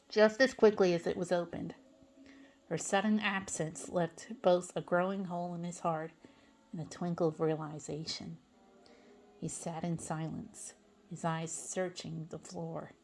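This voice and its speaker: Low-pitched, creaky woman reading a story. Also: a little mellow. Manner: halting, stumbles over words. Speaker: fan fiction reader. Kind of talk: dramatic story narration.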